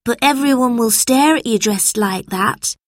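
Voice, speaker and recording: A woman's voice: fairly high pitch, measured pace, no room sound, clean studio sound, voice pushed up. A woman voicing a polite, anxious schoolboy in an earnest way for a children's storybook. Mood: earnest, urgent.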